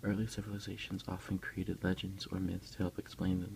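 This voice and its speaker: A man speaking in a soft, unhurried, hushed whisper close to the microphone, as an ASMR creator reading aloud and commenting for an unboxing. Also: low-pitched, monotone, vocal fry, a little hiss or hum.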